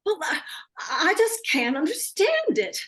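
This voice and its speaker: A woman's voice: very high voice, huge pitch swings, measured pace, a bit bright, full character voice. A woman playing a genteel, puzzled housewife in an old-time radio suspense play. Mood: puzzled, innocent.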